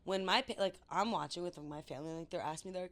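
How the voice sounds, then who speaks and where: A young woman in her twenties co-hosting a podcast, telling stories in a lively, casual way with lots of "like". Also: on the low side, fast talker. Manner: animated storyteller, says "like" a lot. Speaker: podcast co-host. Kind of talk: casual chat.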